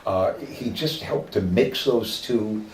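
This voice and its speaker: An older man with a Midwestern accent, recalling his acting training in an oral history interview, reflective and halting with many fillers. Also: fairly low pitch. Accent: Midwestern American. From American Midwest. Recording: roomy distant mic with faint noise.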